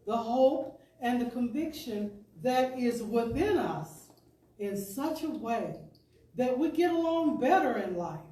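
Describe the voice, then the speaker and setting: A woman giving a deliberate, expressive, oratorical reading of an inspirational talk to a congregation. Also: high-pitched. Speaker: speaker, professional storyteller. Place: spiritual center service, indoors. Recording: echoey room, distant pickup.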